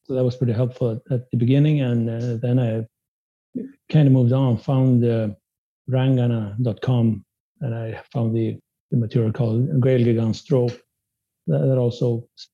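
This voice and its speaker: A man whose first language is Spanish, raised in Sweden, talks in a very dark, mellow voice with a husky edge. He is hesitant and restarts often as he answers interview questions. The podcast audio is dull and dry. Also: mid-range voice. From Spanish-speaking country, raised in Sweden. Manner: hesitant, many restarts and fillers. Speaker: guest. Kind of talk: casual interview.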